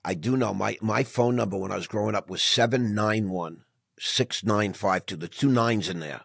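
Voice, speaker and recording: A middle-aged man hosting an interview podcast, chatting casually in an animated, edgy, restless way. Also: on the low side, moderate pace, gravelly, slightly rough, no echo, clean studio sound. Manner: animated, self-described anxious and edgy talker. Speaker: podcast host.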